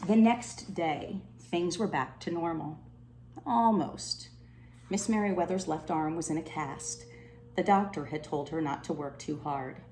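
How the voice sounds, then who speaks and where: A woman reading a children's storybook aloud in an expressive narrating style. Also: low-pitched, a little slow. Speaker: storybook reader. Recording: roomy echo, faint background noise.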